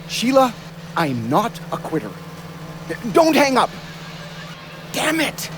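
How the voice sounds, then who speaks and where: Lively, firm doctor. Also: male voice, very high-pitched, slight background noise, exaggerated voice. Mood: desperate, frustrated. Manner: instructive, brisk, firm. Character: harried, caring older family doctor, a father. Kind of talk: audio play acting.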